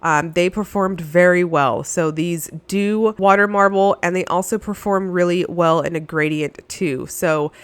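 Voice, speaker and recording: A woman with a somewhat low voice, nasal and congested from being sick, narrates a nail polish review. She gushes warmly about each shade, dotting her talk with "uh" and "um". The recording is dry and close, with no room sound. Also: a little slow, few pauses, clear, resonant tone, no echo. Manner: enthusiastic, gushing, with frequent fillers. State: stuffy, under the weather. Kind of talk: voice-over product review.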